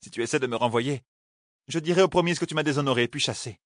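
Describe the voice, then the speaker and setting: A man's voice: mid-range voice, fast, no room sound, pitched above the performer's own voice, lightly acted. A man voicing a feisty, stubborn young woman in love in a fantasy audiobook, teasing and bluntly outspoken. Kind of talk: audiobook character dialogue.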